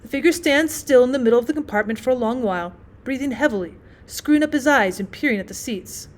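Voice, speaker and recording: High-voiced woman reading a story. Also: fast. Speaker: audiobook narrator, teacher. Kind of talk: scripted literary narration read aloud.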